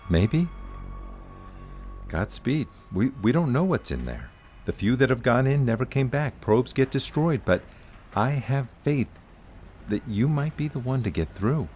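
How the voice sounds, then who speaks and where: An actor playing an earnest, faithful ally who speaks persuasively in an audio drama. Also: male voice, medium pitch, very animated, measured pace, darkish tone, faint music behind. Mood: earnest, grave.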